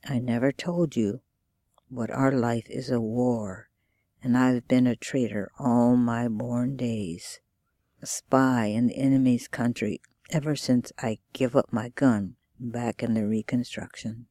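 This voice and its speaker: A bitter old grandfather on his deathbed, voiced by a woman reader, gravely urges and exhorts in a literary reading. The voice is dark and mellow, recorded close and dry. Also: a bass voice, steady tone, a little slow, no room sound. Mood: grave urging. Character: dying old grandfather, former slave, bitter and urgent.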